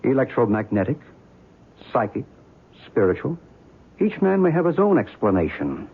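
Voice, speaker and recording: An actor playing a calm, knowledgeable guide to ancient mysteries, speaking in a measured, explanatory way in a radio drama. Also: male voice, medium pitch, slightly rough. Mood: calm, persuasive. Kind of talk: old-time radio drama acting. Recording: tinny, hissy band-limited radio recording.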